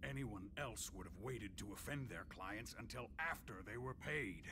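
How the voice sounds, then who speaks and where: A man playing a huge, solemn, literal-minded alien warrior in a video game, speaking formally and deadpan. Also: on the low side, moderate pace, noisy background, close to an everyday voice. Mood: deadpan complaint. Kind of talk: animated video game cutscene acting.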